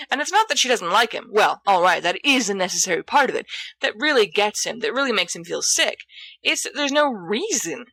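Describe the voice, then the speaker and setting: A woman narrates fiction from a script in an expressive style. Her voice is bright and sharp at a middling pitch. The recording is very dry, with no room around it. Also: no echo. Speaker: audiobook reader of fan fiction.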